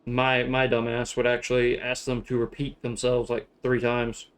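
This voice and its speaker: A young man with a Southern US accent talks loosely on a live stream. He rambles through explanations, trips over his words and laughs easily. His pitch and energy sit in an ordinary middle range. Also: completely flat delivery, moderate pace, clear, resonant tone. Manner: laughs a lot, rambling and loose, swears casually. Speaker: streamer flying a shared-cockpit sim flight. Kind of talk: casual live-stream chat.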